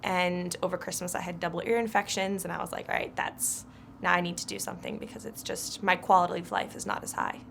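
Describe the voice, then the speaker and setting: Young woman, stuffy nasal voice with some fry, candid chat, faint hum behind. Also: lowish voice, little pitch movement, quick pace, a bit bright. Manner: candid, conversational. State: congested. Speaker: patient.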